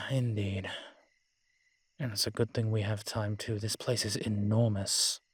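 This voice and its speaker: A man's voice: lowish voice, fairly even intonation, slow, breathy edge, no echo. A man playing an ancient, proud and world-weary male vampire in an audio roleplay, talking in a wry, haughty way with old-fashioned fancy words. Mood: grumbling, watchful. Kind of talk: audio roleplay drama, one-sided dialogue.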